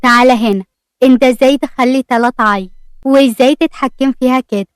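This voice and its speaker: A woman voicing a loving, gently firm young father in an audio story. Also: high voice, a little fast, clear, resonant tone, no echo, clean studio sound, natural voice.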